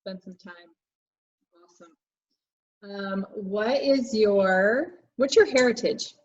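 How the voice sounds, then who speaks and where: A woman's voice: medium pitch, slow. A woman hosting a casual interview, putting short questions and affirming replies in an informal way. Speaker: interview host. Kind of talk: casual quick-question chat. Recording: slightly dull sound.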